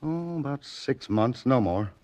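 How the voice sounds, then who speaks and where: An actor playing a plain-spoken newspaper editor in a 1940s radio drama, colloquial and clipped, obliging but a little reluctant. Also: male voice, medium pitch, animated, measured pace, a little mellow, close to an everyday voice. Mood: matter-of-fact. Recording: muffled vintage radio recording.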